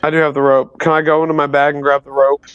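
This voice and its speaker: A man playing in a tabletop roleplay game, asking eager, halting questions. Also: a little high, flat delivery, smooth, no echo, muffled recording. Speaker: player. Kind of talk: casual tabletop game play.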